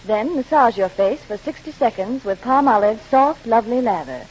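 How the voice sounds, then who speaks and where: Husky woman announcing a vintage radio ad. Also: on the high side, very animated, normal speed, a little mellow. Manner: instructional, persuasive. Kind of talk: scripted 1940s advertising read. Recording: muffled vintage radio broadcast.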